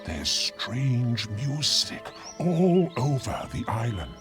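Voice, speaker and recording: A man voicing a servile, bitter island monster in a storybook, with slow, drawn-out words. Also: medium pitch, big pitch swings, over music. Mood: reassuring. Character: strange fishy island monster, servile, bitter, urging murder. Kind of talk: storybook character voice.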